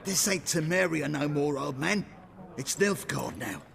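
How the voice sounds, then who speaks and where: An actor playing a bullying occupying soldier in a video game, speaking in a sneering, threatening way. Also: male voice, a little high, lively intonation, a little slow, vocal fry, a crowd in the background, heavily acted.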